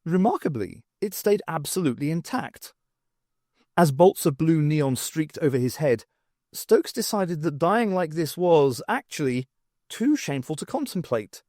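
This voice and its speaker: A man narrating a fiction audiobook in an expressive, wry storytelling manner. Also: fairly high pitch, dry recording, clean studio sound. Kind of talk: dramatic scripted narration of fiction.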